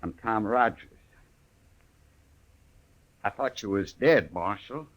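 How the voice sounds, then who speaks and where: A man's voice: lowish voice, expressive, takes it slowly, fairly bright tone, heavily acted. An actor playing a cowardly, boastful barfly gunman who protests defensively, with an American accent, in an old radio western. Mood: uneasy. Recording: vintage 1950s radio recording.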